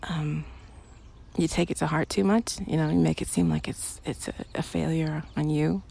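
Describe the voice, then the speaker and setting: A woman's voice: deep voice, fairly even intonation, moderate pace, vocal fry, mellow. A woman chatting casually and intimately, as if to a partner, in a rambling, hesitant, giggly way while out on a bike path. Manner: giggly, hesitant, trailing phrases. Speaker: audio roleplay creator playing a loving girlfriend. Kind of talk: casual rambling chat, intimate one-sided companion talk. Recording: noisy outdoor ambience, passing vehicles. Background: traffic and environmental noise.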